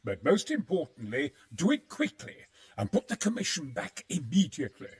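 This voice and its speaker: An actor playing a crafty, conspiratorial schemer in a video game cutscene, with a sly, hedging, expressive manner. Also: male voice, a little high, moderate pace. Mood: secretive, urgent. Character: crafty, conspiratorial male schemer sheltering in a monastery.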